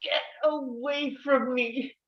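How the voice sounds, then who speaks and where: A woman's voice: high-pitched, fairly even intonation, slow pace, dull audio. A woman playing a crude, exasperated, queasy bridesmaid in a comedy table read. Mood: distressed.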